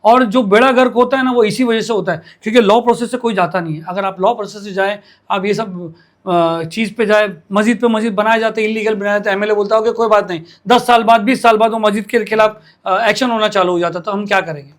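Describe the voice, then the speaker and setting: A man's voice: high voice, fairly even intonation, fast. A man, hosting a news interview. He pushes his point insistently, often cutting in and repeating phrases for emphasis. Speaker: interviewer for a local news channel.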